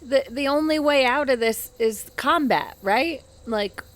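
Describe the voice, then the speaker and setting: A woman's voice: high voice, unhurried. A woman playing a tabletop role-playing game, chatting casually and jokingly with the group.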